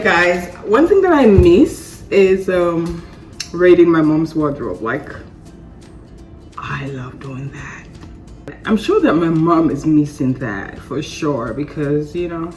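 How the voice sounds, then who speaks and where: A young woman from Sierra Leone, hoarse from a cold, talking casually to her viewers in a chatty vlog. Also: reverberant, distant mic. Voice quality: deep voice. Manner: chatty, lively and warm to viewers, often laughing. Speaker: vlogger. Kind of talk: casual talk to camera.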